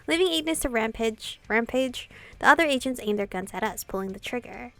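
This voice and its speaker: A woman's voice: on the high side, animated, a little fast, gravelly, fairly bright tone. A woman reading a visual novel aloud and reacting casually, giggly and slangy with some casual swearing. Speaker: gaming video creator.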